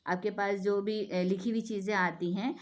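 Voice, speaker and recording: A woman from Pakistan speaks in an earnest, persuasive monologue. She keeps asking rhetorical questions and answering them herself, and often checks in with her listeners. Her pitch sits mid-range for a woman. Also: steady tone, moderate pace. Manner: persuasive, full of rhetorical questions and "theek hai". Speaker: speaker giving a talk on the national language.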